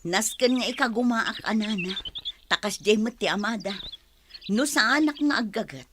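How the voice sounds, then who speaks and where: A woman's voice: a little high, expressive, normal speed, noisy background, natural voice. A woman acting an exasperated, hardworking wife and mother in a radio drama, nagging with an Ilocano Filipino accent. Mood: weary, complaining. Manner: nagging, emphatic. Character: exasperated hardworking wife and mother scolding her lazy husband.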